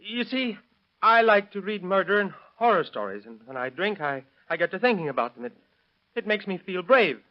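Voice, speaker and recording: A man's voice: high-pitched, big pitch swings, normal speed. A man acting a timid, easily riled little man in an old radio drama, in a dull vintage recording. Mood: meek, pleading. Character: timid henpecked little man, boastful and defiant when drunk.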